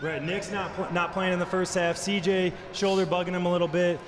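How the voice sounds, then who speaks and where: A man's voice: high voice, little pitch movement, a little fast. A man in his thirties giving post-game basketball analysis on a handheld microphone courtside. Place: courtside in a large basketball arena. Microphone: handheld broadcast microphone. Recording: echoey arena, faint crowd noise.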